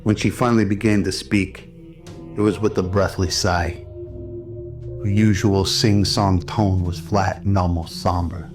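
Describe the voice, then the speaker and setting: A man's voice: low-pitched, steady tone, a little slow. A man reading scripted narration for an audio drama. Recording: music under the voice. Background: music.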